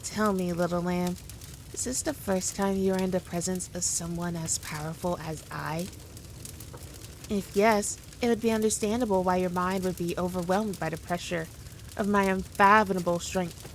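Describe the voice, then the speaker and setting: A woman's voice: fairly low pitch, fairly even intonation, close to an everyday voice. Woman voicing a haughty demon queen, noisy recording. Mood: teasing, superior. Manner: condescending, theatrical, deliberate with drawn-out phrases. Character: powerful demon queen, haughty, teasing, dominant, toying with her foe. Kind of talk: scripted ASMR roleplay addressed to the listener.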